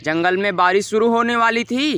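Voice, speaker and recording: A man narrating a scripted animated children's moral story. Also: high-pitched, fairly quick, background noise.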